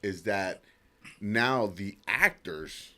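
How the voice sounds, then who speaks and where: Low-voiced middle-aged man chatting casually. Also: lively intonation, extremely slow, bright, forceful tone. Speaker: podcast co-host. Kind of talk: casual chat.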